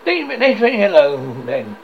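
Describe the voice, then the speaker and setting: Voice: mid-range voice, huge pitch swings, a little slow, gravelly, voice pushed up, full character voice. A reader voicing a grumpy, miserly old man who is stern and indignant, as in a storybook. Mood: stern, dismissive. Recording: dull audio with faint noise.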